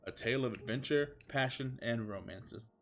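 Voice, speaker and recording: A man's voice: mid-range voice, moderate pace, fairly bright tone. A man streaming a comedic fan fiction reading with casual chat, amused and given to swearing. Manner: amused, profane.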